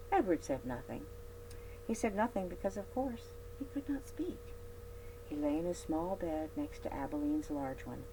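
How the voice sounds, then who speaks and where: A woman with a low voice reads a children's story aloud for a library. Her phrasing is expressive, with emphatic stresses on key words. A clear, steady hum and noise sit behind her. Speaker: library read-aloud host. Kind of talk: scripted storybook narration.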